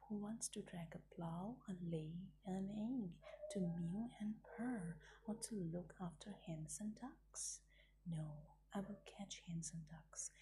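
A woman's voice: on the low side, slow, dark tone, slight background noise, low-quality recording. A woman whispering the part of a polite, curious young male fox cub in a bedtime story. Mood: resolute.

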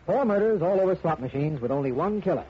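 Old muffled radio drama, an impatient american law official, talks fast, clipped and insistent. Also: male voice, on the high side, lively intonation, breathy edge. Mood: satisfied. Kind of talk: 1950 radio mystery drama acting. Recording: dull vintage radio recording.

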